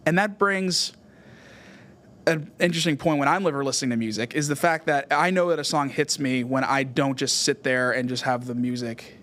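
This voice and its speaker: A man's voice: medium pitch, a bit bright, slight background noise. A man hosting a music podcast, giving an enthusiastic album review monologue and often checking in with "right?". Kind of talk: album review monologue, semi-prepared commentary.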